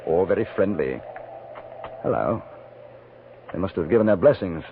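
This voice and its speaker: Composed British traveler, animated delivery. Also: male voice, low voice, normal speed, phone-quality sound, a little hiss or hum. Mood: wary, calm. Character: composed, wry Englishman, former cavalry captain, narrating his travels. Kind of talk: 1950s radio drama narration and dialogue. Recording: band-limited vintage radio recording with music.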